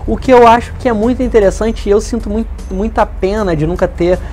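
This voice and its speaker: A man in his twenties with a softened Rio de Janeiro accent and hushing s sounds, explaining things in a casual interview. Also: sits high, brisk, soft background music. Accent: softened Rio de Janeiro Brazilian. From Brazil, Rio de Janeiro. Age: 20s. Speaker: interview guest, leader of a community media project.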